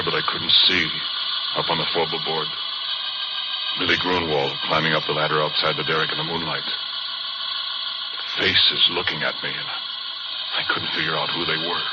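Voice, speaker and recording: A man playing a deep-voiced spooked oil worker. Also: heavy background noise, lightly acted. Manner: folksy plain-spoken grammar. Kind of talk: 1940s radio acting. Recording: dull 1940s radio broadcast. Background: music.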